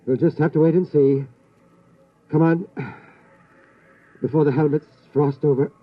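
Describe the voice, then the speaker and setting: An actor playing a gentle, protective middle-aged father with a measured, folksy way of talking, in 1950s radio drama style. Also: on the high side, steady tone, natural voice. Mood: steady, encouraging. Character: steady, protective father passing on courage. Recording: dull vintage 1950s radio recording.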